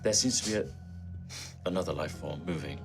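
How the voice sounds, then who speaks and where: A man voicing a disembodied ship's computer, measured, polite and deadpan, in a tabletop game. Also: rumbling low, over music. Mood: flat, apologetic. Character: calm, apologetic ship computer, a disembodied artificial intelligence.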